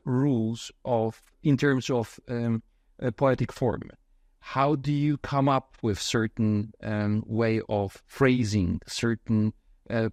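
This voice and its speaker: A man interviewing a poet, asking measured questions with frequent fillers between phrases. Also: mid-range voice, darkish tone, no echo, studio quality.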